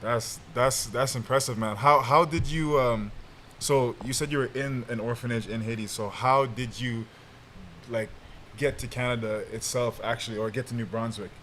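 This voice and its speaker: Male podcast co-host, mid-pitched, relaxed slang, faint hiss. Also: moderate pace. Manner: easygoing, hesitant questions with slang. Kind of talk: casual interview chat.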